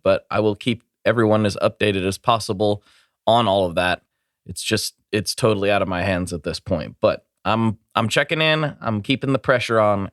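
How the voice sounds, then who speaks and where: A congested man with a fairly low voice hosts a podcast in a rambling, apologetic way. The sound is dry and close, with little room in it. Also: no echo. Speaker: podcast host. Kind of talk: casual podcast chat.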